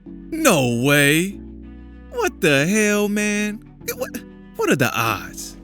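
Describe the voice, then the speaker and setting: Man acting wry, brash caller with swooping pitch. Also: wildly swooping pitch, extremely slow, fairly bright tone, faint music behind, full character voice. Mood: incredulous, curious. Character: brash, wry, chatty male caller, old schoolmate. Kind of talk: audio drama phone dialogue, casual.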